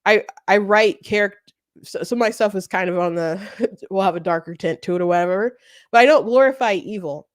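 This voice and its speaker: A woman hosting a commentary show, talking off the cuff in a casual, halting, rambling way. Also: medium pitch, no echo, studio quality. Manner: halting, rambling, often breaks into laughter.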